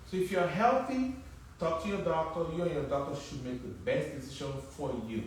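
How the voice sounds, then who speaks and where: A man's voice: a little high, big pitch swings, a little fast, slight background noise. Nigerian-accented male surgeon, middle-aged, emphatic explainer, echoey distant recording. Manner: emphatic, repeats words while thinking. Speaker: plastic surgeon. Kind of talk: interview on a live stream. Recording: echoey room, mic at a distance.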